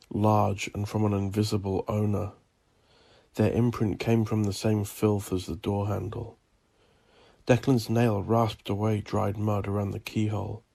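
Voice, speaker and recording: A man reading dark horror fiction as a narrator, in a brooding, ominous and theatrical manner. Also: on the low side, creaky, slightly rough. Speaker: narrator of a horror audio drama. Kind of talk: dramatic gothic scripted narration.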